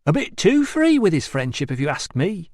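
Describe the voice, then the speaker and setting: A man voicing a chatty, nosy male barber who talks in rough, colloquial grammar. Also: sits high, big pitch swings, quick pace, darkish tone, no echo, studio quality, pitched above the performer's own voice. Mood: gossipy. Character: chatty gossiping male barber, middle-aged.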